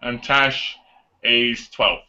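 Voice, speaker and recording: A man's voice: medium pitch, very slow, pushed and bright. A man in his twenties hosting a casual live online chat show. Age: 20s. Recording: dull lo-fi online call audio.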